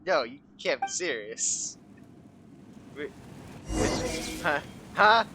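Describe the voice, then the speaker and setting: A man's voice: fairly high pitch, sing-song, drawn-out words, noisy background. A man giving casual reaction commentary as he follows a game. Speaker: gamer reacting to story. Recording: game music behind the voice.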